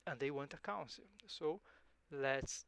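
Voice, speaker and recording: A man's voice: fairly high pitch, creaky, a bit bright, clean tone. A man whose first language is Portuguese, thinking aloud hesitantly while playing a strategy game. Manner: slow, musing, hesitant, self-correcting. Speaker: game streamer.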